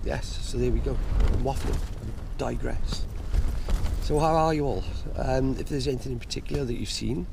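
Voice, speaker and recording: A man's voice: mid-range voice, normal speed, gravelly. A man, chatting casually and rambling to an action camera inside a small tent. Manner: rambling, chatty, many fillers and restarts. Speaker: outdoor gear vlogger. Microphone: action camera held close. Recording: loud wind noise on a tent.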